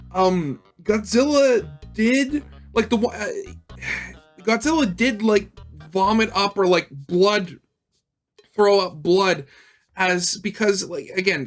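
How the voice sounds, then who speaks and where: A woman giving casual, unscripted reaction commentary in a halting, rambling way that trails off. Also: medium pitch.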